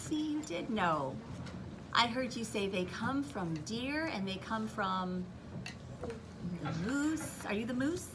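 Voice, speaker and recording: A woman with a fairly high voice teaches a class of young children. She gives firm directions, repeats them, and keeps putting questions to the pupils. The recording has clear classroom noise behind her. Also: lively intonation, takes it slowly. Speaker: primary school teacher. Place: school classroom.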